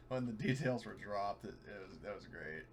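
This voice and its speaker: A man's voice: lowish voice, lively intonation, normal speed, slight background noise. A man chatting casually and a bit sweary as a tabletop role-playing player after a game. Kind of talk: casual post-game chat.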